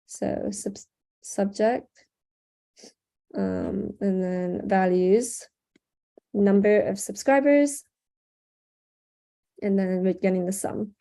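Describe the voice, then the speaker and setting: A young woman runs a live video-call tutorial through a headset, talking in a loose, casual way full of ums and likes. Her voice sits on the mellow, darker side, and a sore throat keeps her clearing it. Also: mid-range voice, slow pace, pauses often. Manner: casual, chatty, frequent "um" and "like". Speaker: workshop instructor. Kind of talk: live online workshop screen-share tutorial.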